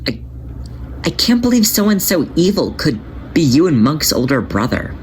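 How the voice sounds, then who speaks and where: A man's voice: on the high side, very animated, normal speed, slight background noise, voice pushed up. A man voicing an anxious young male cat in a storybook reading, stammering and hesitant. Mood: shaken.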